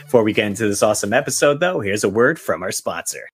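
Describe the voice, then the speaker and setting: Man, low voice, podcast host, casual chat, easygoing delivery. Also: big pitch swings, fast talker, soft background music.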